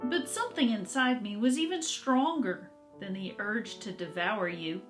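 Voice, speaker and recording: A woman voicing a longing captive housecat who tells its own story in a children's book, dreamy, deliberate and dramatic. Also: medium pitch, expressive, over loud music, close to an everyday voice. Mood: envious, wistful.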